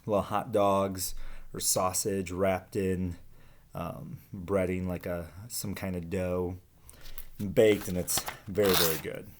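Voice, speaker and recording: A man speaking casually as a teacher to children, pausing between short phrases. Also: sits low, little pitch movement, a little hiss or hum. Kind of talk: casual talk and children's read-aloud.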